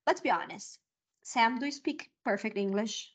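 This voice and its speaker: A woman's voice: on the high side, moderate pace, a loud crowd. A woman from Brazil in her thirties, speaking English with a Brazilian Portuguese accent, telling stories with enthusiasm as a podcast guest. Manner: animated, enthusiastic storytelling. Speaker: guest, 3D modeling specialist. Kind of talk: casual podcast chat.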